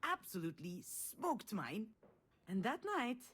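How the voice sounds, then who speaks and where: A woman's voice: mid-range voice, very animated, measured pace, a bit bright, breathy edge, exaggerated voice. A woman playing a wry, self-deprecating, guilt-ridden sister who confides in a conversational way in a narrative game. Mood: amused, reminiscing. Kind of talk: introspective first-person narration and dialogue in a narrative game.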